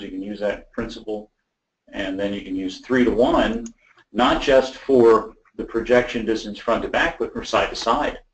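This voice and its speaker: A man giving an informal, explanatory webinar walkthrough as a technical presenter, with hesitant phrasing and pauses. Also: mid-range voice. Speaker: audio system design presenter. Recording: roomy, echoey voice pickup.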